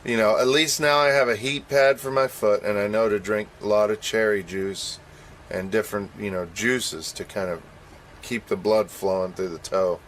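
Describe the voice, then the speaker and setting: A man's voice: on the low side, fairly even intonation, normal speed, slight background noise. A man chatting casually on a radio show, rambling and making self-deprecating admissions. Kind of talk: casual on-air chat.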